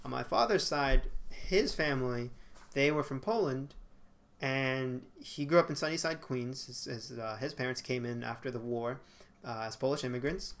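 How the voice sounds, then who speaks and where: A man's voice: mid-range voice, normal speed, a bit bright. A young man in his twenties talking casually to a webcam in a small bedroom, with frequent fillers and self-corrections. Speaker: vlogger. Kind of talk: casual talking-head monologue.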